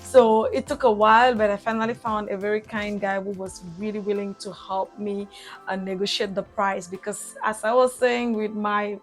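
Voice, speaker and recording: A woman's voice: mid-range voice, little pitch movement, normal speed, faint music behind. A young woman with a Cameroonian accent, recapping her travels in a chatty vlog with fillers and restarts. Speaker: travel vlogger.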